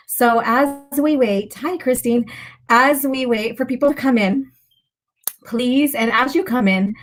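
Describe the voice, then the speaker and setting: Chatty woman with a slightly raspy voice. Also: fairly high pitch. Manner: chatty, greeting viewers by turns, with pauses and asides. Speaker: livestream host. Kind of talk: casual live chat to viewers.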